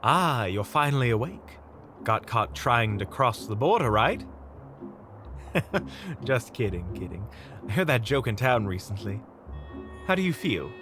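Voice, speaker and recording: Man playing a wry, lively monster hunter. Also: mid-range voice, unhurried, pauses often, music in the background. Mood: teasing. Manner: wry, teasing, explanatory. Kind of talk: audio roleplay acting.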